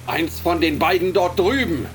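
A gruff, leering ship's officer in a radio play, as a man acts him out, barking orders in a raised, high-set voice. Rain and music sound behind him. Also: moderate pace, close to an everyday voice. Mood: dismissive. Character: gruff male ship's officer herding children aboard. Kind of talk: radio drama acting.

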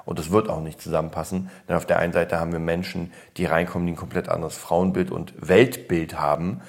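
Deep-voiced man rambling on a podcast. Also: flat delivery, brisk, creaky. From Berlin, born in Poland. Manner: rambling, frequent restarts and fillers, blunt. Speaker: podcast host. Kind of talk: casual opinion chat.

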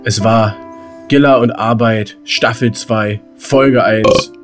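A man's voice: on the low side, steady tone, takes it slowly, over music. A man co-hosting a podcast in casual, colloquial banter, silly and given to mock grumbling. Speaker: podcast co-host.

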